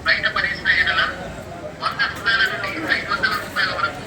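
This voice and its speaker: A man reading a scripted public service announcement in a formal, instructive manner, played over a street loudspeaker. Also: high voice, a little fast, crowd noise. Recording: noisy street loudspeaker playback, telephone-like.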